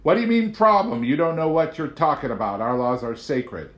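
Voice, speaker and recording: A man's voice: on the high side, sing-song, brisk, pitched above the performer's own voice. Man acting an indignant, preachy middle-aged government agent. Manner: forceful, lecturing, exasperated. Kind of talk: audiobook character voice. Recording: roomy, echoing home recording.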